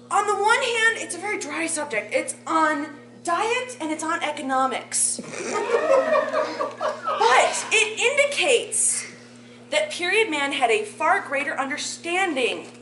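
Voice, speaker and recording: A woman in her thirties reading aloud from a sheet in a community room, explaining a fake translation in a deadpan, mock-scholarly way. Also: very high-pitched, noisy background. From Poland. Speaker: bardic performer. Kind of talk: mock translation comedy bit. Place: community meeting room with audience. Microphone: far camera. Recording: echoey room from afar.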